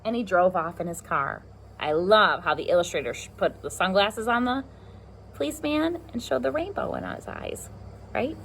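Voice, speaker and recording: A woman reading a picture book aloud to young children, expressive, with chatty asides to her listeners. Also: medium pitch, normal speed, vocal fry, slight background noise. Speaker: pre-K teacher reading aloud.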